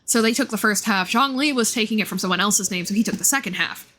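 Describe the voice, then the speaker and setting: A woman's voice: mid-range voice, fast, a bit bright, a little hiss or hum. A woman livestreaming a game, chatting casually and enthusiastically about its lore as she rambles and thinks aloud. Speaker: live streamer.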